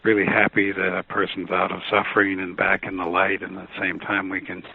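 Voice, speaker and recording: A man being interviewed as an author on a radio show, speaking calmly and in a measured way with some fillers and restarts. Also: deep voice, vocal fry. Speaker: author and guest. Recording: phone line with faint noise.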